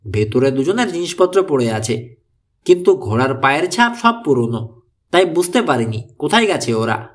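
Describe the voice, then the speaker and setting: A man plays a worried mother in a mystery audiobook. She is wary and anxious, full of questions, with a lively, swinging intonation. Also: on the high side, moderate pace. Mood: worried, explaining. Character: worried, wary American mother posing as Mexican. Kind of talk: audiobook character dialogue.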